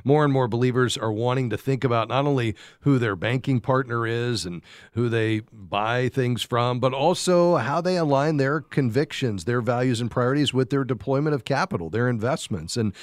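Male call-in host, conversational advisor, medium pitch, very dry room. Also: normal speed, dry recording. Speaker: radio show host.

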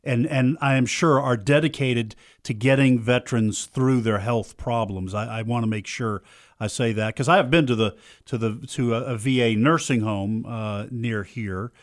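A man's voice: mid-range voice, moderate pace, no echo, clean studio sound. A man co-hosting a radio talk show, speaking earnestly with frequent stumbling restarts. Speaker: radio co-host.

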